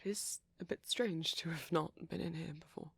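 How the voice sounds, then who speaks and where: A woman voicing a shy, gentle young male vampire boyfriend in an ASMR roleplay, speaking breathily and hesitantly with small stammers. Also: fairly low pitch, steady tone, moderate pace, creaky, husky, dry recording, heavily acted. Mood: shy, caring. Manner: hesitant, stammering, softly whispery. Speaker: audio roleplay performer.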